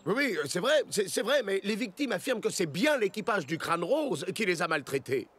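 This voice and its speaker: A man voicing an excitable, theatrical pirate-world character in a children's cartoon, declaiming his words. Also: high voice, normal speed, husky, exaggerated voice. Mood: officious. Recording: music behind the voice.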